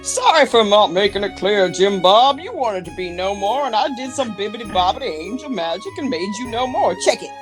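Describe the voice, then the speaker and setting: A woman's voice: medium pitch, animated, fairly quick, hardly any pauses, close to an everyday voice. A woman playing a laid-back, slangy slacker angel in a comic radio play, casual and flippant. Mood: nonchalant. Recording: music playing under the voice.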